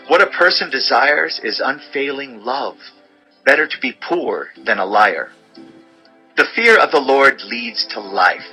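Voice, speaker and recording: A man narrating an audio Bible as a dramatized scripture reading, with measured, declamatory delivery of short sayings. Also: mid-range voice, sharp tone, poor audio.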